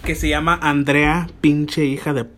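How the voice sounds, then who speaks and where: A man's voice: a little high, little pitch movement, a little slow, a bit bright. A man in his twenties chatting casually as host of an amateur show, switching between English and Spanish with playful, foul-mouthed teasing. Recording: noisy amateur home recording.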